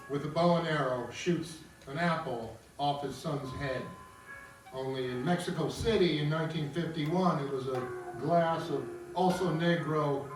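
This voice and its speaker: Narrator for opera table read: man, higher-pitched voice, heavily echoing room, music. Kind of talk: reading stage directions and explaining to audience. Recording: very echoey room, distant mic, music.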